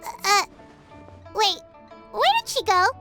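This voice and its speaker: A woman voicing a small, excitable, childlike fairy companion in a video game, with a squeaky, exclaiming delivery. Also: slow, heavily acted. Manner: refers to herself in third person, exclaiming. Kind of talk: English video game voice acting. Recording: loud childlike background music.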